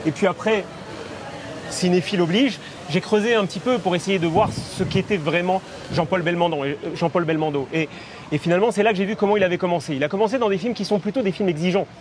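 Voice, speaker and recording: A man's voice: high voice, quick pace, a little hiss or hum. A man presenting a film segment on a talk show, enthusiastic and full of restarts. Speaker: film columnist. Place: outdoors at a café terrace.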